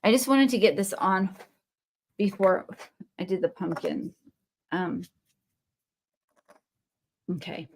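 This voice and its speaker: A woman's voice: on the low side, slow pace, pauses often, slight background noise. A woman with a Texan accent hosting a live crafting stream, chatting in a rambling, friendly way with viewers as she works. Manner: chatty and rambling, talks to viewers while working; friendly, answers viewer comments. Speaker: craft livestream host. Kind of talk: casual live crafting chat.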